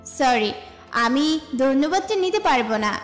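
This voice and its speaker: A young college woman voiced by an actress, friendly and a little bossy, talks in a romantic audio story. Her voice is very high and echoes over a music bed. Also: expressive, a little slow.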